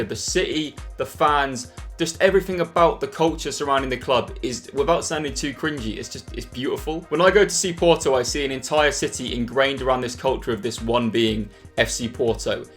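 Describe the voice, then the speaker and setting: A man's voice: medium pitch, a bit bright, soft background music. A young man from England vlogging casually to camera as a football vlogger, candid and earnest. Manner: candid, earnest, quick-talking.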